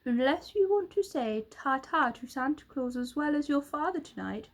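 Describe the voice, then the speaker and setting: A wicked storybook hunter, as played by a woman narrator, cruel and gloating. The voice sits high and pushes out threats and taunts with crowing menace. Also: animated, normal speed. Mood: cold threatening.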